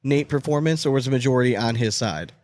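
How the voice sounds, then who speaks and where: A man hosts a casual sports podcast in a middle-pitched voice. He is blunt and emphatic and swears easily. The sound is dry, with very little room in it. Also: quick pace, darkish tone, no room sound. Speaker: podcast host.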